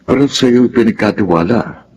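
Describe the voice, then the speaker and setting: A man's voice: lowish voice, normal speed, a little mellow, slightly rough, rough recording. An actor playing a firm but caring middle-aged father in a Filipino radio drama, with a Filipino accent. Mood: stern.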